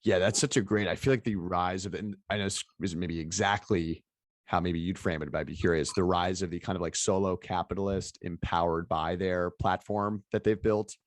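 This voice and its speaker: A man's voice: deep voice, steady tone, moderate pace, no echo, clean studio sound. A man interviewing in a casual, rambling conversational style, circling his way toward each point. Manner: rambling, hedging phrasing with frequent "like". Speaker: interviewer, event host.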